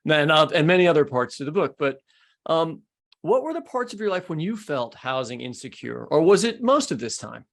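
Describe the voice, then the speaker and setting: A man's voice: a little high, big pitch swings, normal speed, vocal fry, a bit bright. A man with an American accent, hosting a podcast with scripted introductions and interview questions. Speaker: podcast host.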